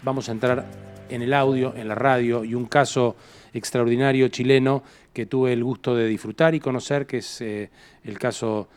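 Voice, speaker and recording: A man's voice: mid-range voice, steady tone, moderate pace, clean tone, faint music behind. A man hosting an event, introducing the next presenters on stage in speech. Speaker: event host.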